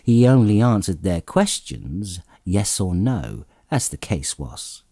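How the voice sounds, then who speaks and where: A man narrating a classic story as an audiobook, reading in an expressive, theatrical way with dramatic emphasis. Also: sits low, slow, no echo, studio quality.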